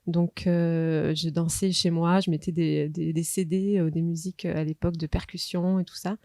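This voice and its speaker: Low, mellow-voiced French woman dancer. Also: flat delivery, normal speed, dry recording, clean studio sound. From Marseille, France. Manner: hesitant, many fillers. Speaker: dancer at the school. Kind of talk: recalling in an interview.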